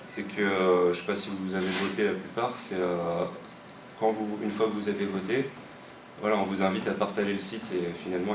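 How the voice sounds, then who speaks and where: A young man from western France talks casually, pausing often and restarting his sentences. His voice sits very low. He is recorded in an echoey room from some distance, with steady noise behind him. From Maine-et-Loire, France. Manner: hesitant, many fillers and restarts. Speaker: website creator interviewed. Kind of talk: casual spoken explanation. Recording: echoey room, mic far off, noisy.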